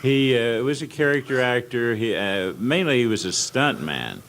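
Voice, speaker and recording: An older American man telling anecdotes as a guest on an informal panel, halting and rambling as he reminisces. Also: medium pitch, expressive, fairly bright tone. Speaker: panel guest at old-time radio convention. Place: indoor convention panel room. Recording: clearly noisy convention room recording.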